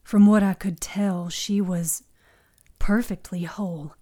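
A woman voicing a shaken, earnest young male who speaks haltingly in an audio drama. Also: medium pitch, fairly even intonation, warm tone. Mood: shaken, hesitant. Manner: halting, stammering.

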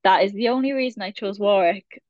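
A woman's voice: mid-range voice, brisk, a little mellow, no echo. Welsh valleys girl, 20s, rambly chatty guest, kinda muffled dry sound. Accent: South Wales Valleys. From Wales. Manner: chatty, rambling, laughs at herself. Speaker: podcast guest. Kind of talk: casual chat.

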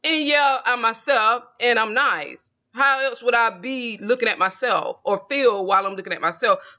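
A man's voice: high voice, bright, forceful tone. A man in his late forties, a tarot video creator, talking in a self-assured, rambling solo monologue that keeps circling back to the same points. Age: 40s. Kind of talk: casual solo monologue to camera.

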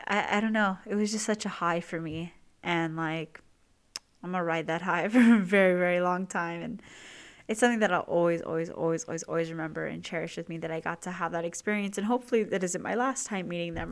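A woman's voice: fairly low pitch, vocal fry. Chatty young woman podcast host. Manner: chatty, rambling with many fillers. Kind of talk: casual podcast talk.

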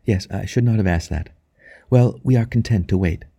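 A man voicing a devout, tender young wife in an audiobook, speaking softly and reverently. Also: fairly low pitch, normal speed, gravelly, husky, no room sound. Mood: content, earnest. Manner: soft, quiet, reverent. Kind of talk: audiobook character dialogue.

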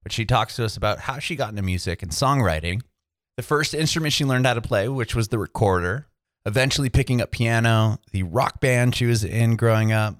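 A man's voice: mid-range voice, a little fast, no room sound. Young man hosting a podcast, dry sound. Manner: eager, upbeat, asks rambling questions. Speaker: podcast host. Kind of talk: podcast intro and casual interviewing.

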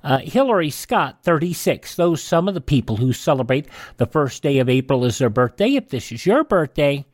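Lively American radio host, man, dry sound. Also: on the high side, moderate pace, few pauses, dry recording. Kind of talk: casual radio presenting. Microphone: close studio mic.

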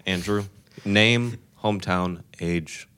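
A man's voice: sits low, slow pace, pushed and bright, clear, resonant tone. An eighteen-year-old American man from Tennessee hosting a casual group podcast chat. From Tennessee, United States. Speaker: podcast host.

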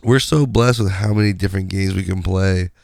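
A man hosting his podcast in a casual, rambling way, his voice raspy from a sinus infection. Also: low voice, fairly quick, a little mellow, no echo, clean studio sound. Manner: rambling, conversational, many fillers. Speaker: podcast host. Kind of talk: casual solo podcast chat.

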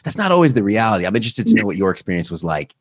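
A man's voice: fairly low pitch, big pitch swings, racing, husky, dry recording. A man hosting a podcast, asking conversational questions. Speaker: podcast host. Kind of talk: podcast interview.

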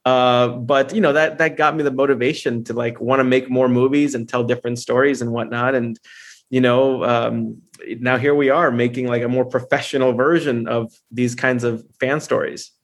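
A man's voice: mid-range voice, fairly even intonation, moderate pace. A man in his thirties from Honduras, a filmmaker chatting as a podcast guest in an upbeat way, with lots of fillers and restarts. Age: 30s. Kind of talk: casual podcast interview.

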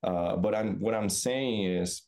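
Middle-aged man, Brazilian accent, native Portuguese speaker, deep and mellow, thoughtful professor, halting interview answers. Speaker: podcast guest, business professor.

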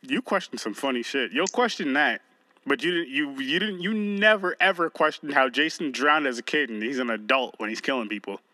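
A man's voice: medium pitch, brisk, sharp tone, no echo, poor audio. A man co-hosting a podcast, chatting loosely with a friend, joking and swearing as he goes. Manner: loose, joking, profane banter. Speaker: podcast co-host. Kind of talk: casual chat.

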